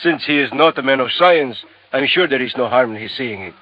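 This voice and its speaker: An actor playing a suave, controlling professor with formal, insistent speech in a vintage radio drama. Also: male voice, medium pitch, brisk, lightly acted. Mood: effusive, smooth. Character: suave, controlling, suspicious male science professor. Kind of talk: 1950s radio drama acting. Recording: dull vintage radio recording.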